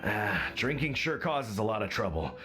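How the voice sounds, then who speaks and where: A man's voice: lowish voice, very animated, normal speed, over music. A man playing a sheepish, placating middle-aged hunter father who likes his drink in a video game.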